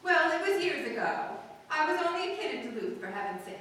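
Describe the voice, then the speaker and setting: An actress playing a wry, quick-witted woman magazine photographer in an old-time radio comedy. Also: high-pitched, big pitch swings, a bit bright. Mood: wry, defensive. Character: dry, wisecracking female magazine photographer, unflappable. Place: live stage performance in a hall. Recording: very echoey hall, distant mic, noisy.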